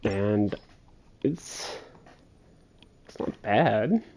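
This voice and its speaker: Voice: medium pitch, expressive, drawn-out words, gravelly, darkish tone, soft background music. A game streamer giving casual livestream commentary, muttering and thinking aloud while playing.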